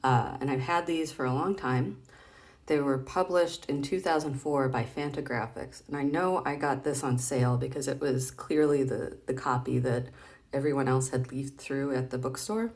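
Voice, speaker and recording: A woman with a low-pitched voice gives a casual read-aloud. She is chatty and playful, often hesitating and thinking aloud as she explains. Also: steady tone, normal speed, darkish tone. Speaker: vlogger reading comic strips aloud.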